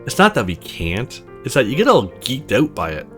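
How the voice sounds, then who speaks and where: Visual novel role: supportive, teasing young man, read by a man, blunt, lively intonation, music underneath. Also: mid-range voice, moderate pace. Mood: mildly defensive.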